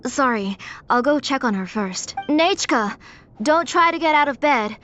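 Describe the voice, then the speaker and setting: Caring, apologetic game mother, gentle and fairly high, faint noise behind. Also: animated, moderate pace, dull audio. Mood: concerned. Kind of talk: video game voice acting.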